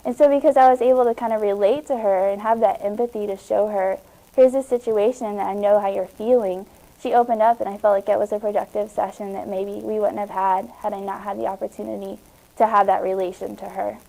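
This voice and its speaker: A woman's voice: mid-range voice, steady tone, brisk, vocal fry, dark tone, rough recording. A young American woman, a peer writing tutor, telling a personal anecdote. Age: young adult.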